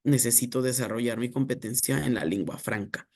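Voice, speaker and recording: A man giving an academic lecture, speaking in a measured, reflective way with filler pauses. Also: mid-range voice.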